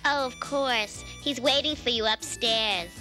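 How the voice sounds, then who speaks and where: An actress playing a sassy, theatrical cartoon receptionist with a deadpan, mock-grand manner. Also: female voice, on the high side, a little slow, lightly acted. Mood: blasé. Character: sassy, theatrical female receptionist, blasé and stubborn. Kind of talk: cartoon voice acting. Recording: music behind the voice.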